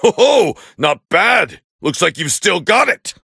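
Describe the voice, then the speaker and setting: A man voicing a proud, scornful alien warrior prince as battle commentary in an anime fighting game, with a harsh, gravelly growl and a haughty, clipped delivery. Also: high-pitched, big pitch swings, slow pace, bright, forceful tone, no room sound, clean studio sound, exaggerated voice. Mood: grudgingly impressed.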